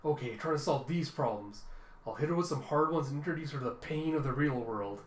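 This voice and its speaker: A man voicing a proud, excitable young secret policeman and tutor from a cartoon, condescending and theatrical in manner. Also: a little high, a little fast, slight background noise, natural voice. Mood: scornful. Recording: roomy, echoing room sound.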